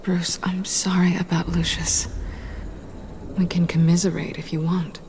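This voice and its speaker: An actress voicing a guarded, wry thief for hire in a video game drama, speaking in a businesslike way. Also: female voice, on the low side, little pitch movement, a little slow, darkish tone. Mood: hushed, guarded. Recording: faint background noise.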